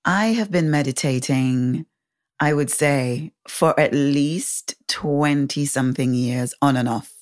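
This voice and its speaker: Very low-voiced woman storyteller. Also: takes it slowly. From England, raised in the Caribbean. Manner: candid, laughs often, acts out quoted voices. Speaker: guest sharing her story. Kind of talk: personal storytelling.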